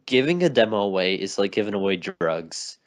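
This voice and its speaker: A young man with a somewhat low voice argues his point on a casual video game podcast. He talks insistently and keeps cutting in with "listen," heard over a remote call mic. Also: very animated, fairly quick. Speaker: podcast co-host. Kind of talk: casual chat.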